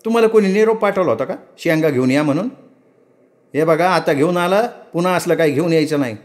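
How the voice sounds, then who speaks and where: A proud young village wife with a sharp tongue, as a man puts her on, her voice lifted well above his own and snapping back in curt, scolding lines. It sounds like a storybook reading. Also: a little high, fast talker, voice pushed up, natural voice. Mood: sharp, irritated.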